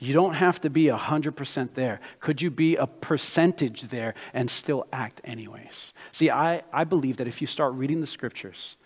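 A man born in Brazil and raised in the United States, preaching a sermon as he tells his own story and builds to emphatic, loud passages. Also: mid-range voice, normal speed, hardly any pauses, breathy edge. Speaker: pastor. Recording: long hall reverb.

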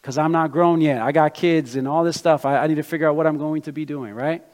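Animated preacher in a reverberant hall. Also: male voice, on the high side, fast. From Brazil, raised in the US. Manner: animated storytelling preacher, personal anecdotes. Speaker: pastor. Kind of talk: sermon.

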